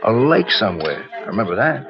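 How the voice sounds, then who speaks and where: A man's voice: lowish voice, very animated, fairly quick. A man playing a folksy, helpful bartender with a hesitant, colloquial way of recalling things in an old radio crime drama. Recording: muffled vintage radio recording, loud music.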